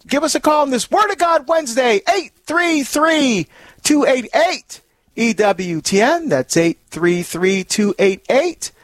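Brief: male deacon host, from Barbados, high voice, lively and exclamatory, dry studio sound. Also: no echo. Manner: upbeat, animated, fond of exclamations. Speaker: radio show host, deacon.

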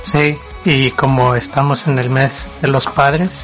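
A male radio co-host introducing a song segment in a measured tone. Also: medium pitch, steady tone, dull audio, faint music behind.

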